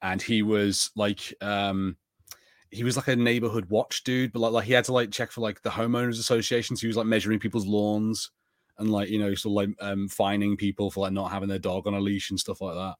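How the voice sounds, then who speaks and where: Low-voiced rambling male co-host. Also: fairly quick, no room sound, clean studio sound. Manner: rambling, many "like" fillers, animated. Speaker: podcast co-host. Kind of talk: casual chat.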